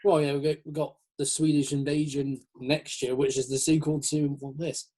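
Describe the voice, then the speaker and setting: A man's voice: a little high, flat delivery, normal speed, warm tone, husky. A man in a casual, rambling, jokey chat, acting out little quotes as he goes. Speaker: host of a metal chat show.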